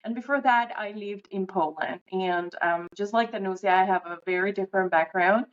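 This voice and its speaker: A woman's voice: medium pitch, normal speed, dull audio. Venezuelan-born woman hosting a podcast. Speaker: podcast co-host. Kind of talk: casual podcast chat.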